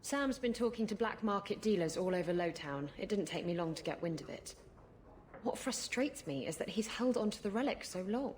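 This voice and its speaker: A woman's voice: medium pitch, lively intonation, brisk, slight background noise, lightly acted. An actress playing a sarcastic, evasive female pirate rogue. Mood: sly. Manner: teasing, evasive.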